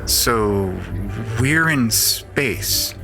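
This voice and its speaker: A man's voice: medium pitch, animated, very slow. A man playing a cocky, jaded young racing pilot who narrates a scripted science fiction audio drama. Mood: groggy, annoyed. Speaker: narrator and lead actor. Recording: voice over prominent background music.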